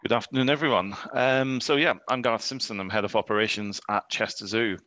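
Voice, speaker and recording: Low-voiced man on a dry close line. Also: no echo. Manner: steady, measured, a little hesitant. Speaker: head of operations, panel guest. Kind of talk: webinar panel talk. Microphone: video call.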